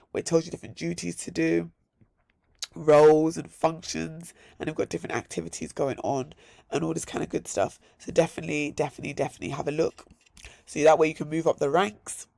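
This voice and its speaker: A hoarse man vlogging a casual screen-share walkthrough, upbeat and repeating words in threes. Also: on the high side, a little mellow, no echo. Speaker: crypto vlogger.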